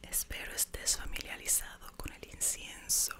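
A woman in her twenties whispering breathily as a soothing ASMR meditation guide, very close to a desk microphone. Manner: slow, soothing, instructive. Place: small bedroom, indoors. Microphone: desk condenser microphone, very close.

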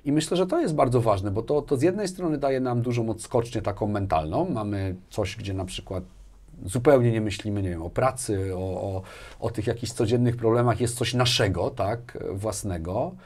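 A man's voice: fairly low pitch, normal speed, a little mellow. A man in his forties speaking as an interview guest in casual conversation, emphatic, often adding little tag questions. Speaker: interview guest, business executive. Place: small indoor studio with armchairs and bookshelves. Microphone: lapel mic.

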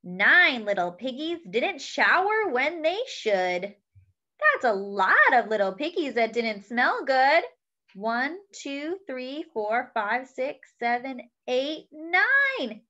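Young woman, children's storytime reader, sing-song and bright, slightly muffled home webcam sound. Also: high-pitched, big pitch swings. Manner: cheerful, slow and sing-song, counting aloud. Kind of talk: reading a picture book aloud to children. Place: small room at home, indoors.

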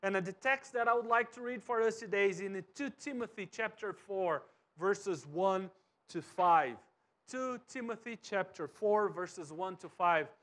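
A man in his thirties from Brazil, a pastor with a Brazilian Portuguese accent, preaching a sermon from a church stage and swinging from soft to loud. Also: high voice, unhurried. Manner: animated, wide gestures, swings from soft to loud. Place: church stage with cross backdrop and bookshelves.

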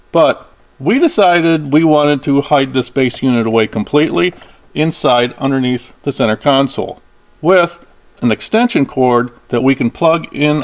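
A man in his fifties with an American accent, explaining a DIY install step by step in a plain-spoken, conversational way. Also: mid-range voice. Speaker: host of a DIY vehicle install channel. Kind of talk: hands-on tutorial explanation, conversational.

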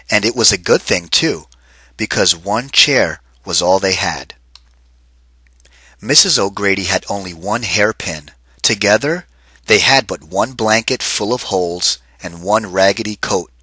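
A man reading a children's picture book aloud, expressive and lively, pausing to ask kids questions. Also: lowish voice, husky, no echo. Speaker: read-aloud teacher.

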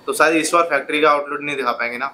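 A man's voice: fairly high pitch, fairly even intonation, very fast, pushed and bright, slight background noise. A man acting as vlog host, chiming in with short agreeing replies. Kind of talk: interjecting interviewer.

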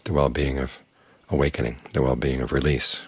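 An older man teaching as a Buddhist monk, his voice very low and strongly husky. He speaks calmly and plainly, and the recording is close and dry. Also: a little fast, dry recording. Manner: calm, plain-spoken, uses homely images. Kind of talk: evening dharma talk.

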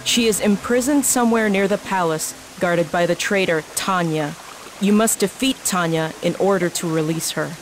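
A woman's voice: on the low side, moderate pace, slight background noise, lightly acted, low-quality recording. A woman as a commanding warrior princess. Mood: urgent. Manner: commanding, urgent. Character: regal young female warrior princess. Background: music.

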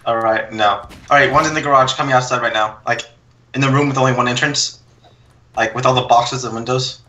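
A young man chatting casually while gaming, explaining things in a halting, rambling way. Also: mid-range voice, fairly even intonation, sharp tone. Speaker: gaming storyteller.

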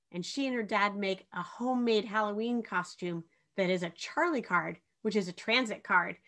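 A middle-aged woman speaks to camera as a children's author. Her voice sits at a medium pitch. She presents in a friendly, prepared way, recorded on a webcam indoors. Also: fairly bright tone. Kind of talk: friendly scripted introduction and trivia question to camera. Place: indoor room with bookshelves.

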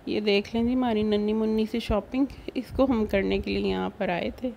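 A woman's voice: mid-range voice, steady tone, normal speed, vocal fry, warm tone, slight background noise. A woman with a Pakistani accent narrating a casual shopping vlog in a chatty way. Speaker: vlogger.

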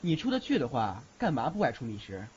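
男演员饰 语调起伏、不耐烦的年轻男大学生. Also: male voice, medium pitch, huge pitch swings, fairly quick, a little mellow, a little hiss or hum. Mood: cool, blunt. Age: young adult. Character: grumbling, aloof young male university student who sees ghosts. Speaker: lead actor in a Chinese audio drama. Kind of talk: audio drama acting with inner monologue. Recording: slightly dull recording with music behind.

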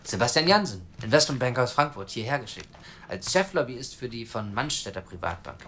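Brash, cynical reporter, radio drama; rapid delivery, crude and insistent, faint noise behind. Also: male voice, mid-range voice, very animated, fairly bright tone. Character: brash, cynical, pushy male reporter pressing for answers. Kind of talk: naturalistic German radio drama acting.

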